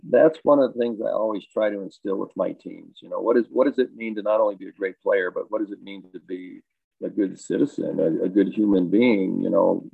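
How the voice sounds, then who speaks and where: A man who coaches high school baseball and teaches health, answering interview questions in a thoughtful, rambling way with many fillers and restarts. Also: brisk, gravelly, no room sound. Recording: dry, tinny phone-like line.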